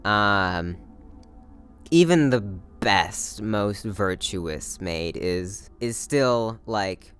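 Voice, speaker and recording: A man's voice: fairly low pitch, lively intonation, very slow, a bit bright, smooth, soft background music. A man casually talking through a character from a play, hesitant and thinking aloud as he goes. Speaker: actor discussing a play.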